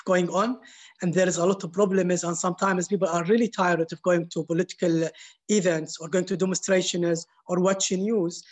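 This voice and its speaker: A man, an artist and former journalist, speaking on a panel in halting English. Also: high voice. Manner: searching, halting non-native phrasing. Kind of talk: panel talk.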